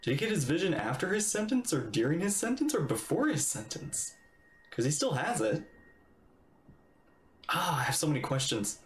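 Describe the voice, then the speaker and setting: A man's voice: fairly high pitch, sing-song, normal speed, long pauses. Roomy-sounding male game streamer. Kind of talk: casual live reaction commentary. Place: indoors at a desk, webcam stream. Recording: roomy echo, faint game music.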